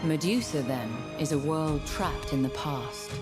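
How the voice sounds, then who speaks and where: Guy as grave game lore narrator, measured, dramatic, really loud music under him. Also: fairly high pitch, natural voice.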